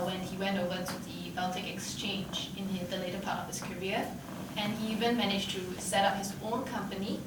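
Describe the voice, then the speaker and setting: A woman's voice: medium pitch, background noise. A young woman hosting a podcast, giving a prepared introduction. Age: young adult. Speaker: podcast host. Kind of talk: scripted introduction. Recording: very echoey, distant mic, music.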